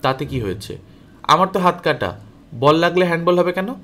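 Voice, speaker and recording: A brash storybook boy read by a man, quick to argue and full of temper. His delivery is combative and swings in pitch, in a storybook character style. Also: very animated, fairly bright tone. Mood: indignant, arguing. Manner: combative, argumentative. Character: brash, hot-tempered boy with an amputated hand.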